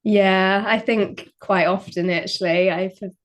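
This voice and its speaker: A young woman talks casually as a podcast guest. She sounds relaxed and modest and often pauses with "um" and "like". Her voice sits at an ordinary middle pitch. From New Zealand. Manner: relaxed, modest, frequent ums and likes. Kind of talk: casual interview chat.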